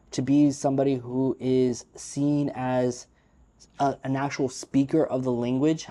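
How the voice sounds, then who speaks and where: A man's voice: medium pitch, warm tone. A man speaking English as his first language, giving a frank, opinionated, unscripted talk to camera, rambling with fillers. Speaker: vlogger. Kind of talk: unscripted casual monologue.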